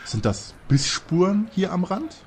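Guy doing a cocky teen sleuth in a parody audio drama, raspy and bouncy. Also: sits high, very animated, unhurried, heavily acted. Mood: excited. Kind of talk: German detective radio play parody.

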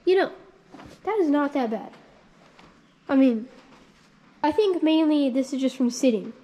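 A woman's voice: high-pitched, animated, unhurried, dark tone. A woman hosting a casual walkthrough vlog, thinking aloud in a rambling, unsure way with frequent ums. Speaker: channel host.

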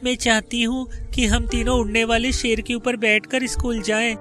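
A man's voice: very high voice, little pitch movement, moderate pace, over music, pitched above the performer's own voice. A man voicing a wishful young schoolgirl in a cartoon story.

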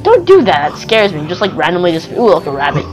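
A man's voice: high-pitched, huge pitch swings, quick pace, low-quality recording. Goofy young male gamer, exclamatory. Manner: goofy, exclamatory, reacting aloud. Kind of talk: casual gameplay commentary. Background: game music.

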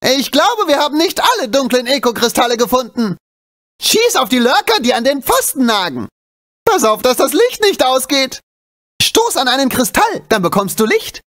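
Small cheeky animal sidekick, high-pitched, swooping intonation, excitable, urgent, exclamatory, video game character. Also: male voice, normal speed, full character voice. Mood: worried, warning.

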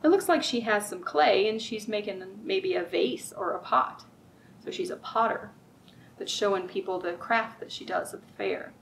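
A middle-aged woman reading aloud at a children's storytime, expressive and sing-song, drawing out rhymes and putting questions to young listeners. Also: mid-range voice, moderate pace, gravelly, slight background noise, poor audio. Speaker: children's librarian, storytime host. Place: library room with bookshelves, indoors.

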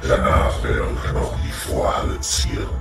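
Giant hellhound, fantasy audio drama. Extremely low, haughty threats, echoing over loud music. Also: male voice, level tone, gravelly, far from the mic, exaggerated voice. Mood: scornful threat. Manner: slow, threatening, formal speech. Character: menacing giant fire-breathing hellhound, irritated and haughty.

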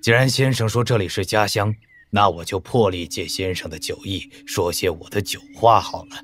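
A man's voice: lowish voice, normal speed, fairly bright tone, slight background noise, close to an everyday voice. A man playing a reserved, cautious court official, speaking formally and politely in a Chinese wuxia audio drama. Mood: measured, candid.

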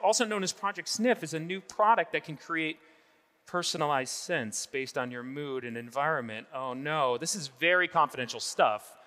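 A man's voice: on the high side, bright, forceful tone. Upbeat man giving a stage demo. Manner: upbeat, enthusiastic presenter. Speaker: product demo presenter. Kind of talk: live keynote product demo. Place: large conference stage.

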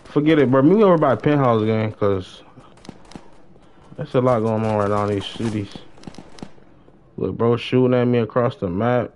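A man's voice: medium pitch, normal speed, pauses often, darkish tone, slight background noise. A young man chatting casually on a gaming livestream, using heavy slang and often repeating his phrases. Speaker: live-streamer playing an online role-play game. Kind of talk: casual gaming stream chat. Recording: dull lo-fi streaming mic.

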